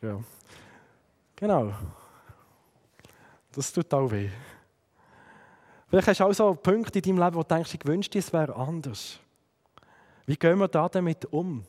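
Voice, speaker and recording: A man in his thirties with a Swiss German accent from the Sense district of Fribourg, preaching an earnest sermon that drops to quiet emphasis. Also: on the high side, expressive, measured pace, pauses often, in a large hall. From Switzerland.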